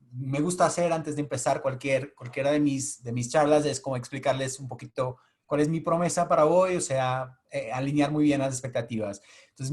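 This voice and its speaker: A man in his thirties, a native Portuguese speaker with a Portuguese accent, giving an explanatory webinar talk to an audience over a video call. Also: fairly high pitch. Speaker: guest speaker. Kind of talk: webinar presentation.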